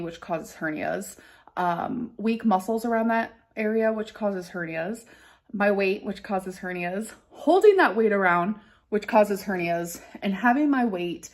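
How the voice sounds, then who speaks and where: A woman's voice: mid-range voice, a little mellow. A woman in her thirties talking casually in a personal vlog, rambling with many fillers. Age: 30s. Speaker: vlogger.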